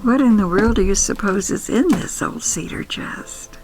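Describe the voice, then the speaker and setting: An older woman telling nostalgic first-person stories in an intimate, wistful, sentimental manner, with musing pauses. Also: mid-range voice, darkish tone, soft background music. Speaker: podcast host and storyteller.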